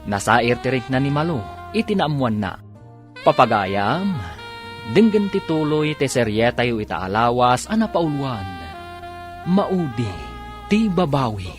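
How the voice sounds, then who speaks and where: A man's voice: mid-range voice, very animated, measured pace, pauses often. A man narrating an Ilocano radio drama in a scripted, dramatic announcing style. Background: music bed.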